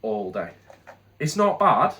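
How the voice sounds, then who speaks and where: A man's voice: mid-range voice, sing-song, slow, noisy background. A British man with a congested head cold, casually reviewing products in a chatty, candid, rambling way with frequent restarts. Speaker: product reviewer, channel host.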